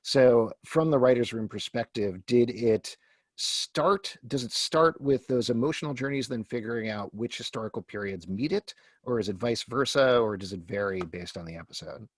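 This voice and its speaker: A man's voice: mid-range voice, normal speed, no room sound. A man moderating a panel interview, putting questions to guests and reading out submitted ones.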